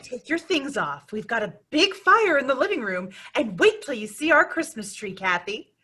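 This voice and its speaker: A woman acts a homey, welcoming aunt in old-time radio drama. Her voice is high and bright, with extremely lively swoops. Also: normal speed, full character voice. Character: welcoming, bustling aunt, a married hostess.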